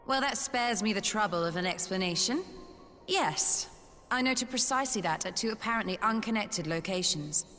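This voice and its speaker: Composed scholar in long reverb. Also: female voice, medium pitch, lively intonation, normal speed, sharp tone. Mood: composed, inquiring. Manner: precise, formal, dry. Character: composed, analytical female scholar, dryly confident. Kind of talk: video game voice acting. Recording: long reverb, music underneath.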